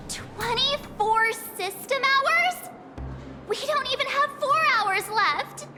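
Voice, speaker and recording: A woman voicing a bubbly, impatient teenage girl adventurer in an anime-style video game, expressive and exclaiming. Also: very high-pitched, takes it slowly, over music, heavily acted. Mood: exasperated.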